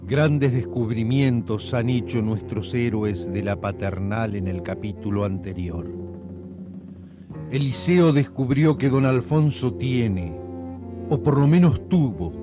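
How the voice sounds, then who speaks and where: A man playing the wry, suggestive storyteller of a radio serial, with theatrical, measured scripted narration. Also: mid-range voice, music in the background, natural voice. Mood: wry, dramatic.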